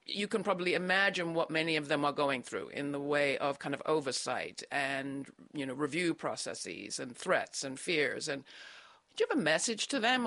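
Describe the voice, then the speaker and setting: Woman host asking questions, bright sharp tone, dry close sound. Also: fairly low pitch, no echo. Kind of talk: interview questions.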